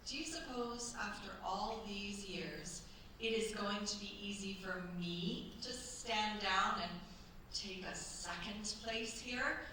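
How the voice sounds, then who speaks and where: A woman plays a shrewd older mistress of a French chateau in a staged radio drama. She is commanding yet coaxing, unhurried and bright in tone. The voice rings through a very echoey theatre, some way from the mic. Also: mid-range voice. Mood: persuasive. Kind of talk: staged radio play acting. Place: theatre stage at a cultural centre. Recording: very echoey stage, distant mic, faint noise.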